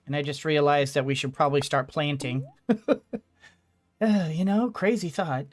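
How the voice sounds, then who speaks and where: A woman giving casual video game commentary, halting as she thinks aloud. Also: deep voice. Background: game music.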